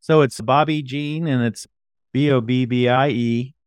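A man's voice: medium pitch, fairly even intonation, a little slow, clear, resonant tone, dry recording. A man hosting an interview in a casual, conversational style. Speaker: interview host.

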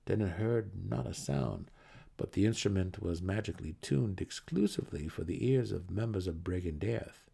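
A man's voice: sits low, animated, normal speed, creaky, mellow, slightly rough. A man reading a fantasy novel aloud as a dramatic audiobook narrator.

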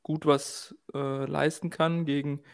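A man co-hosting a casual football podcast, giving measured analysis with frequent hesitations. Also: a little high, little pitch movement, vocal fry, clean tone. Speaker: podcast co-host.

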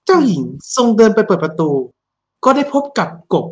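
A woman narrating an improvised comic fairy tale with a husky voice. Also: on the high side, sing-song, normal speed.

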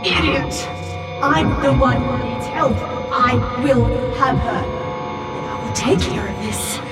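A woman's voice: high voice, slow. A woman playing a possessive, vengeful sister who speaks inside a boy's head, commanding and taunting, in a sci-fi audio drama. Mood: furious, possessive. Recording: echoing, distant voice under loud music.

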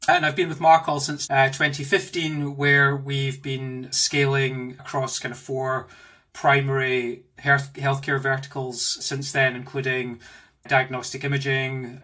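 A man in his forties with a Glaswegian accent, an enthusiastic guest telling stories about his career in a podcast interview from his home office. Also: medium pitch. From Scotland. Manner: animated, enthusiastic storyteller. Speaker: guest, tech executive.

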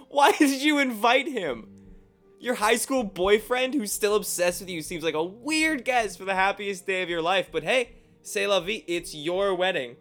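A man's voice: fairly high pitch, fairly bright tone. An actor playing a teasing, affectionate younger man recording an informal message to his sister in a radio play. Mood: wry. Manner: chatty, wry, joking. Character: Greg, teasing affectionate young brother of the bride.

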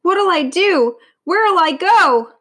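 A woman's voice: fairly high pitch, animated, normal speed, pushed and bright. A woman voicing a gimpy old male lion in a storybook, a grumbler and blusterer who mumbles, growls and wails. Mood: frightened.

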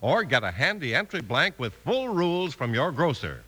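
Animated American radio announcer, high-pitched man. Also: normal speed, very noisy. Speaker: announcer and narrator. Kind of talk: 1950s radio announcing and advertising.

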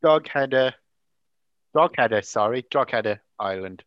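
A man's voice: mid-range voice, lively intonation, unhurried, no room sound, highs cut off. A man co-hosting a podcast, bantering in a jokey way and swearing casually. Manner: jokey, swears, laughs often. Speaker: podcast co-host.

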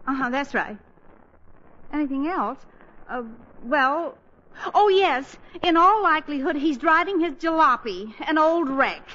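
A woman's voice: high-pitched, expressive, measured pace, pushed and bright, full character voice. An actress playing an anxious, fretful middle-aged mother in a 1940s radio comedy. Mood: anxious, urgent. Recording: muffled, hissy 1940s radio broadcast.

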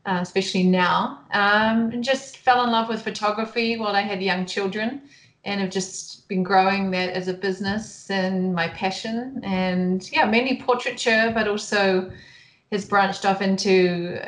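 A woman's voice: medium pitch, steady tone, measured pace, roomy sound. A woman in her thirties or forties with a New Zealand accent, a photographer chatting in a friendly way as a podcast guest. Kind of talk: casual interview chat.

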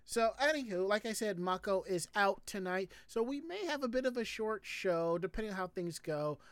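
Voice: mid-range voice, lively intonation, normal speed, no echo. A host chatting casually and informally on a live show, talking in a chatty way. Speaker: podcast host.

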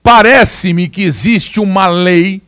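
A man giving opinionated commentary, slowing down to stress his points with emphatic, deliberate delivery. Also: high-pitched, a bit bright, dry recording, clean studio sound. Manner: emphatic, slows down to stress words. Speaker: commentator presenting video clips.

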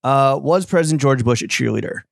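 Guy on a podcast, cracking crude jokes, mid pitch, dry close mic. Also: expressive, creaky, dry recording. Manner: wry, profane, quick banter. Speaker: podcast co-host. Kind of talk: casual chat.

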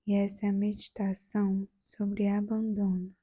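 A woman with a Brazilian accent leading a guided meditation in a soft, soothing, gentle way, leaving long pauses. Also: mid-range voice, flat delivery, breathy edge.

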